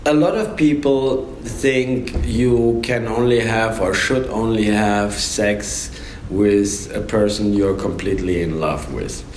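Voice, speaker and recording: Casting: middle-aged male interviewer, unhurried and halting, lowish voice, roomy recording. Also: a little mellow. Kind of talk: casual interview. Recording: echoey room with background noise.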